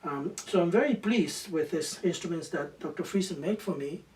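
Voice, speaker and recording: A man's voice: a little high, moderate pace. A man whose native language is Spanish, a quena player explaining eagerly in a documentary and often restarting words. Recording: distant mic with loud music behind.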